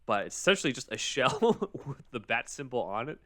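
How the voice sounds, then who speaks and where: A man's voice: medium pitch, big pitch swings, a little fast, a bit bright, slight background noise. A man co-hosting a podcast, explaining things in an informal, conversational way as he summarizes a script. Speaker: podcast co-host.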